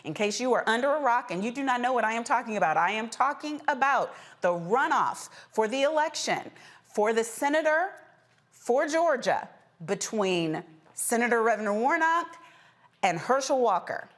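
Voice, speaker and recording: A woman's voice: a little high, lively intonation, normal speed. A woman hosting a political news panel in an informal, emphatic, conversational way. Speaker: panel show host.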